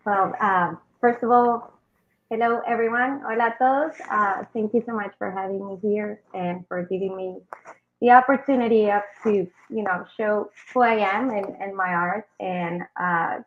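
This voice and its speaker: A woman's voice: mid-range voice, moderate pace, slight background noise. A young adult woman from Colombia, a native Spanish speaker with a Colombian Spanish accent, chatting as a musician guest on a video call with many ums and you-knows. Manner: warm, chatty, fills with um and you know. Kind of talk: casual interview answers. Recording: dull lo-fi video call audio.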